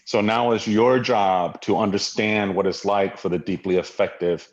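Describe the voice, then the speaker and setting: A man's voice: lowish voice, fairly even intonation, normal speed. A man from Hawaii, a guest in an interview, talking in an earnest, reflective way with many fillers and restarts. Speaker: guest, diversity leader. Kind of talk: conversational interview. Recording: dull lo-fi audio.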